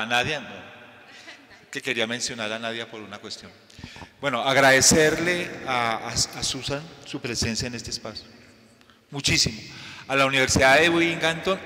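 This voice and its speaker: A man's voice: mid-range voice, bright, forceful tone. Effusive man giving formal thanks. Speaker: moderator. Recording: reverberant lecture hall.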